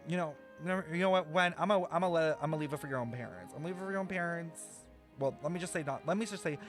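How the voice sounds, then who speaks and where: A young man livestreaming a game with casual, sarcastic, slangy and profane commentary over music. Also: on the high side.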